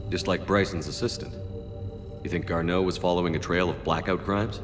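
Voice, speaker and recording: Deep-voiced, resolute military commander played by a man. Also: steady tone, a little fast, lightly acted. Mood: focused, probing. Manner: terse, direct, questioning. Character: resolute, businesslike male military commander investigating a mystery. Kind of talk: scripted video game acting. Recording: long reverb, loud music behind.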